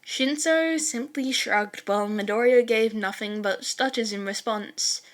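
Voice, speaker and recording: A woman narrating fan fiction as an expressive storytelling reader. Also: a little high, unhurried.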